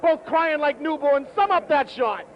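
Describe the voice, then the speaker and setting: A man with a very high voice interviews players on the sideline, blunt and teasing. The recording is dull and muffled. A little noise sits behind him. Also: animated, measured pace. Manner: blunt, joking. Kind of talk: post-game interview.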